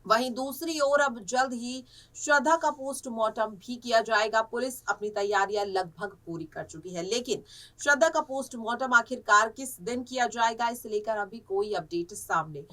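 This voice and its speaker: A woman's voice: a little high, moderate pace, fairly bright tone, recorded from a distance. A woman presenting a semi-scripted news commentary, with emphatic, repetitive phrasing.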